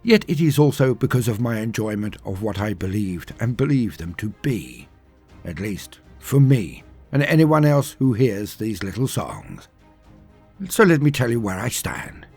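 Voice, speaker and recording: Male lore narrator: husky, swooping dramatic delivery, emphatic and theatrical, light music bed. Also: on the low side, very animated, measured pace, darkish tone. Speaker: storyteller and lore channel host.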